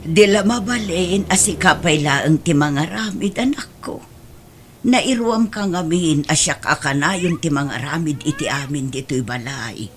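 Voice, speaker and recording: A Filipino woman playing a meek, long-suffering older mother in a radio drama, speaking plaintively and gently. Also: deep voice, a little slow, slight background noise. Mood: worried, pleading.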